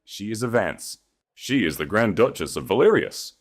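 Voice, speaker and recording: A man voicing a deep, wrathful Grand Duke. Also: very animated, moderate pace. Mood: roaring accusation. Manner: cold, imperious, menacing. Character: wrathful commanding male sovereign Grand Duke.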